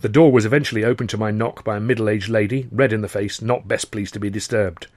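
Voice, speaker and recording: A man's voice: fairly low pitch, fairly even intonation, quick pace, darkish tone, no echo. A man narrating a novel as a dramatised audiobook, with wry, wordy expression. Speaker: author reading his own novel.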